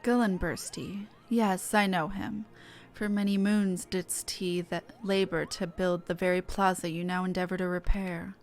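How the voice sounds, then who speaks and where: A woman's voice: on the low side, little pitch movement, unhurried, soft background music. A woman voicing an ancient female dragon in a fantasy game, solemn and stately, with archaic, formal phrasing. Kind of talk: reading game dialogue aloud in character.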